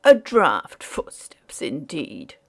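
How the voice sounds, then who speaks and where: A woman's voice: sits low, huge pitch swings, takes it slowly, vocal fry, a bit bright, pitched below the performer's own voice, full character voice. A woman voicing a genial, dismissive Victorian army colonel in a storybook, clipped and hearty.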